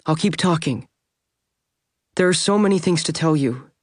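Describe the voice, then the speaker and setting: A man voicing a tender, grieving female vampire who talks softly and pleads as she reassures someone. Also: on the high side, moderate pace, dry recording, clean studio sound, pitched above the performer's own voice, natural voice. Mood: earnest. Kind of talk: audiobook character voice.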